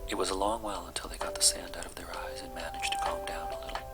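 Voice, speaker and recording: A man reading a children's story aloud as soft-spoken bedtime narration, with music behind him. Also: low-pitched, fairly even intonation, fast talker, whispered. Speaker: storybook reader.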